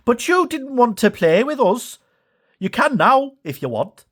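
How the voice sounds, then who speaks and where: A man's voice: very high voice, big pitch swings, unhurried, a bit bright, voice pushed up, heavily acted. A man voicing a playful, cheeky male logging locomotive in a children's storybook, with a teasing, complaining manner. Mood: miffed.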